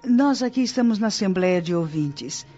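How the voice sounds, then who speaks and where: A Brazilian actress playing a patient, helpful spirit guide in a radio drama, explaining things gently and formally. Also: female voice, mid-range voice, expressive, normal speed, highs cut off, faint music behind, close to an everyday voice. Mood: patient, explanatory.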